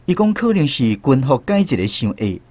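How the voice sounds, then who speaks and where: A man's voice: medium pitch, very animated, brisk, darkish tone, dry recording. An actor with a Taiwanese accent narrating a radio drama as a man recalling his army days, in a storytelling manner. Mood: reflective storytelling. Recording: music behind the voice.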